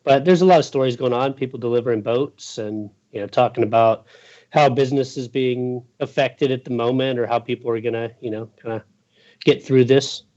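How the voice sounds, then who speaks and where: A man's voice: mid-range voice, fairly quick, darkish tone, highs cut off. A man chatting casually and informally as an interview guest, rambling a little as he goes. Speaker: guest, boating news journalist. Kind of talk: casual chat.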